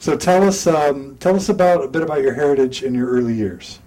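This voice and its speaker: A man's voice: mid-range voice, brisk, mellow, low-quality recording. A man interviewing an older subject, prompting him with short questions.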